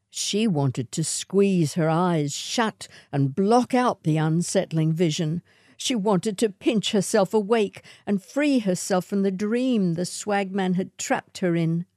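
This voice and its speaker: A woman reading a literary short story in a measured, atmospheric way. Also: fairly low pitch, warm tone, dry recording, studio quality.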